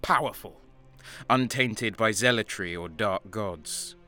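A man's voice: on the low side, slow pace, bright, forceful tone, soft background music. A man playing a bitter traitor guardsman who narrates his own story in a grim, hard voice in a fan audio drama. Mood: defiant. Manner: grim inner narration, heated in arguments. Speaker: narrator and lead character.

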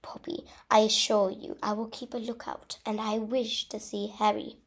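A woman voicing a calm, stern old male wizard headmaster in a story, formal and measured. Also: medium pitch, slightly rough. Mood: firm.

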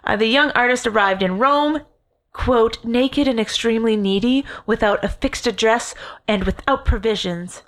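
A woman's voice: a little high, moderate pace. Chatty, jokey woman. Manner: rambling, jokey, swears and laughs. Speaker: podcast co-host. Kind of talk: casual storytelling chat.

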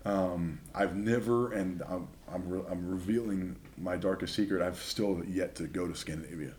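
A young man chatting casually as a podcast guest, telling stories in a relaxed, rambling way. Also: deep voice, normal speed, slight background noise. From Northern California. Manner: chatty storyteller. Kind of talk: casual chat.

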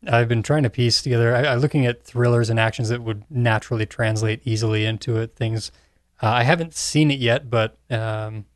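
A man's voice: medium pitch, fairly even intonation, a little fast, no room sound, studio quality. A middle-aged man chatting casually as a podcast co-host, sharing thoughts and anecdotes.

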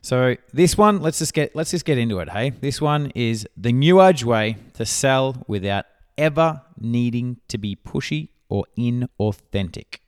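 A man's voice: mid-range voice, expressive, unhurried, few pauses, clear, resonant tone. A man with an Australian accent talking casually and informally as a podcast host and coach.

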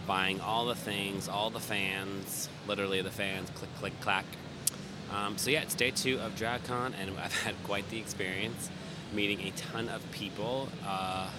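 A young man chatting casually as he vlogs from a busy fan convention, rambling and self-amused. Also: fairly low pitch. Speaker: vlogger and event reporter. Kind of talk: casual vlog narration. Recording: noisy convention floor.

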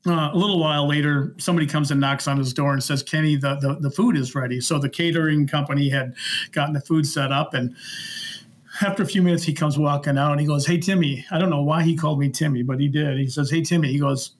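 Higher-voiced middle-aged Midwestern American man telling stories. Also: steady tone, quick pace. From Minnesota, United States. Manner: rambling, good-humoured, acts out reported dialogue. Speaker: podcast guest, former concert security worker. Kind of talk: casual anecdotal storytelling.

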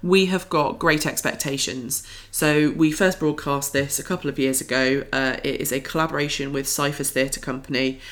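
A woman's voice: rumbling low, steady tone, normal speed, hardly any pauses. A woman co-hosting a podcast, chatting in an informal, enthusiastic way. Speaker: podcast co-host. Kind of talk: casual scripted-free podcast chat.

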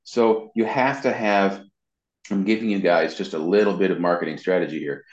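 Male interview guest, low voice, casual and explanatory, halting with repeated words, recorded in an echoey room. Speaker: interview guest, web marketing consultant. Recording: roomy echo, dull muffled sound.

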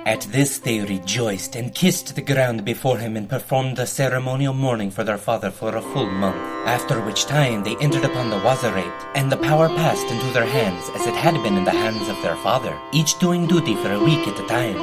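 A man's voice: medium pitch, fairly quick, few pauses. A man narrating an old tale in a solemn, formal storytelling manner. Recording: narration over loud music.

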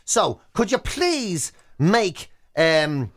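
An Irish woman chatting as a radio co-host. Her pitch swings widely and her delivery is animated. She sounds amused and incredulous, moving between casual banter and reading aloud. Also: extremely slow.

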